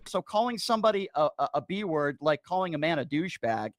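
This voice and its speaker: A man with a somewhat high-pitched voice argues in a heated debate. He is combative and interrupts, and the sound is very dry, with no room to it. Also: sing-song, quick pace, dry recording.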